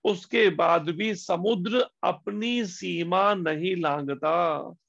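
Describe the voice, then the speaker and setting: A man giving a devotional discourse as a spiritual teacher, slowing down on key words and asking rhetorical questions. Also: sits high, vocal fry, fairly bright tone. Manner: slow, deliberate emphasis with pauses on key words. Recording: dull lo-fi recording.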